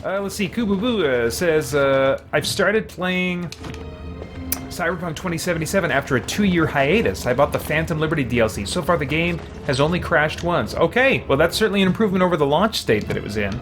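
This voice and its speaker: Rambling male streamer over clear music. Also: a little high, lively intonation, fairly quick. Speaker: live streamer. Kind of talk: casual chat while gaming, reading viewer comments. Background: game music.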